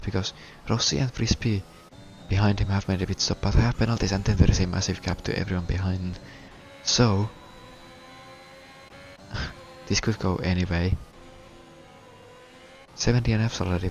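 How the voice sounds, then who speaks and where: Low-voiced Finnish man stumbling through commentary. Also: steady tone, gravelly, a little mellow. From Finland. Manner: halting, stuttering delivery with frequent restarts. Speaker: race commentator. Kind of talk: live sim racing commentary.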